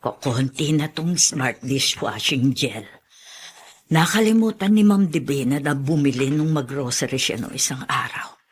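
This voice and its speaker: A woman's voice: extremely low pitch, lively intonation, unhurried, breathy edge, exaggerated voice. A woman playing a chatty, gossipy household maid in a radio drama, muttering to herself colloquially. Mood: grumbling. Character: chatty, gossipy household maid grumbling about her employers.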